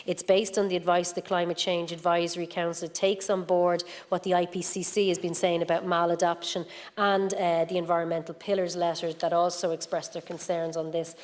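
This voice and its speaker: Low, flat-voiced woman senator. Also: a little fast, long reverb tail. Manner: hesitant, with many restarts. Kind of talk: parliamentary debate. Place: parliamentary chamber.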